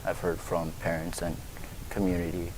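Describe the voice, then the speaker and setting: This young man has a very deep voice and speaks in a flat, even tone. He answers forum questions steadily and drops in an occasional "um". A faint noise sits in the background. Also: normal speed. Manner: steady, flat delivery with fillers. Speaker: school board candidate.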